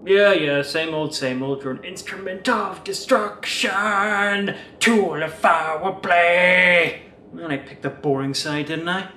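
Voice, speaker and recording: Sarcastic evil sidekick played by a man, bored and snide, bright forceful tone, echoey with faint noise. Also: high voice, slow pace, exaggerated voice. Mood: bored, jaded. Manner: snide, flippant. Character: sarcastic, bored villainous henchman of a dark lord.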